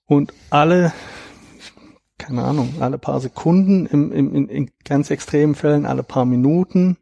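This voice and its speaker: A man in his thirties, a guest in an interview, explaining at length in a reflective, measured way with a gravelly, husky voice. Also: on the high side, dark tone, dry recording, muffled recording. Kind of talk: conversational interview.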